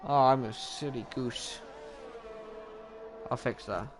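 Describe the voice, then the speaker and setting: A man's voice: mid-range voice, slow. A young Welsh man giving live, chatty commentary on an online racing league, often joking at his own expense and correcting himself. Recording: steady background noise.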